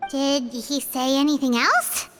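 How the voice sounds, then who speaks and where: A woman voicing a tiny, excitable fairy companion in a game, who talks about herself in the third person. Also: high voice, a little slow, soft background music. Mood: chirpy. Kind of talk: game voice acting.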